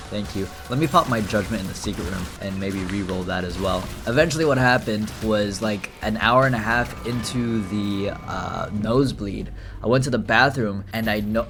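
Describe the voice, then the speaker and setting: Young male streamer, low voice, talkative and animated, faint music behind him. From Philippines, raised in England. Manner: chatty, laughs often, animated while telling stories. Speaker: gaming streamer. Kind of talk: casual gameplay commentary with storytelling.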